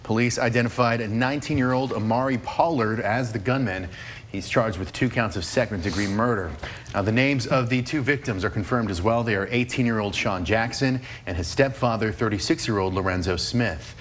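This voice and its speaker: Male TV anchor, formal steady read, slight background hum. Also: fairly low pitch, fairly quick. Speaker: news anchor. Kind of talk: news reading.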